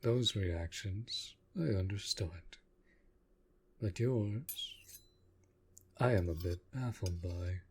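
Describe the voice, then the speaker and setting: A man playing a courtly, protective vampire lord in a roleplay audio drama, speaking softly and formally in measured, deliberate phrases. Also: sits low, a little hiss or hum. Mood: pensive, intrigued. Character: courtly, protective male vampire master of a manor.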